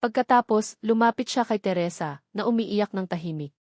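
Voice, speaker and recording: A woman with a medium-pitched voice narrates a scripted romantic drama. She tells it in a measured, solemn way. The recording is dry, with no room sound. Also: a little mellow, no room sound.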